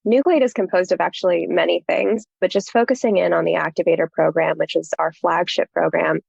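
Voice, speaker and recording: A woman's voice: fairly low pitch, steady tone, brisk, vocal fry, darkish tone, slightly rough, no echo, lo-fi sound. A young woman speaking articulately and thoughtfully in an interview. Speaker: nonprofit leader. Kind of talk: interview answers.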